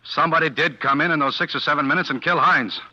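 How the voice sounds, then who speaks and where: An actor playing an earnest, plain-spoken young ex-convict on trial, in 1940s radio drama style. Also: male voice, a little high, bright, forceful tone. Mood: angry, accusing. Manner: plain-spoken, halting, insistent. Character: accused young ex-convict, earnest, grimly determined under pressure. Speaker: defendant on trial. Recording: dull vintage 1940s radio recording.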